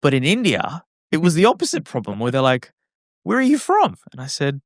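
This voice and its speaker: A man's voice: a little high, wildly swooping pitch, fairly quick, no room sound, clean studio sound. An Australian man talking as a podcast guest, reflective and casual, with many fillers and restarts. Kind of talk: casual interview chat.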